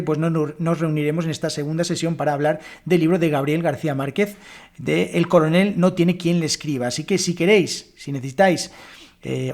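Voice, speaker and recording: A Spanish man from Spain teaching his language on a solo podcast, close to the mic. His voice sits a little high for a man, and he talks in a loose, chatty way, full of fillers and restarts. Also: darkish tone.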